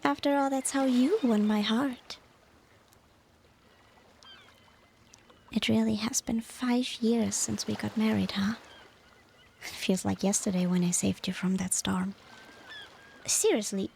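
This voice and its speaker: A woman plays a devoted, nostalgic mermaid wife in a romantic ASMR audio drama. Her medium-pitched voice is soft and unhurried, often near a whisper, with a creaky edge. Faint ambient noise sits behind her. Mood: playful, affectionate. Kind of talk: ASMR romantic roleplay, softly spoken.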